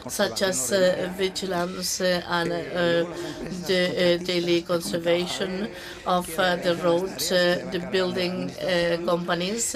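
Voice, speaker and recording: Halting woman interpreting a conference talk. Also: fairly low pitch. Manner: halting, many drawn-out "uh" fillers between words.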